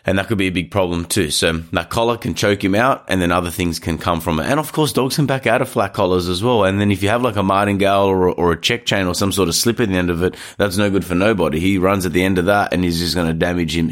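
A man's voice: low voice, fast, hardly any pauses. A man with a nasal, stuffy voice from hay fever, talking casually about dog safety as a podcast host, rambling through a story with restarts. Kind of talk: casual advice talk. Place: studio.

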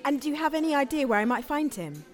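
High-voiced, earnest searching sister. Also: female voice, sing-song, fast talker. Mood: urgent questioning. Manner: earnest, questioning. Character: anxious, polite woman searching for her lost brother. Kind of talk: naturalistic audio-drama dialogue.

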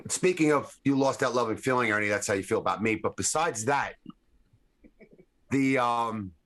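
A man in a relaxed podcast chat who jokes and teases his friends. His voice sits at an ordinary middle pitch, and he talks loosely and playfully. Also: moderate pace. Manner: joking, teasing, playful. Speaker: podcast co-host. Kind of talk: casual chat.

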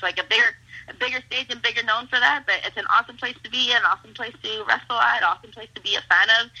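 A woman's voice: mid-range voice, pushed and bright, breathy edge, muffled recording. A young woman from Mexico whose first language is Spanish, a pro wrestler chatting upbeat and gushing in a casual interview, full of "like" and "awesome". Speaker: professional wrestler.

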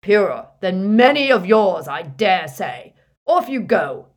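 Woman voicing young wizard faking authority, blustering and loud, audiobook. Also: mid-range voice, lively intonation, unhurried, pitched above the performer's own voice. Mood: commanding. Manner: forceful, blustering orders. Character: young wizard disguised as a burly official, commanding.

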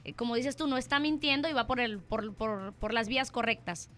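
Mexican lady hosting, chatty, lots of "ujú", bright voice. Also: fairly high pitch, brisk. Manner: chatty, frequent affirming fillers. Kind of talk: casual radio chat.

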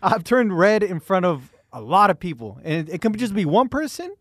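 A man co-hosting a casual podcast, agreeable and chatty, quick to laugh. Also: sits high, sing-song, no echo, studio quality. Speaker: podcast co-host.

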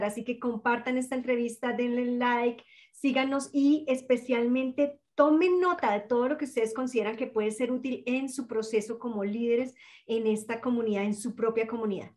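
A woman's voice: a little high, a little mellow. A woman hosting a podcast, greeting listeners and introducing her guest in an upbeat way. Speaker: podcast host.

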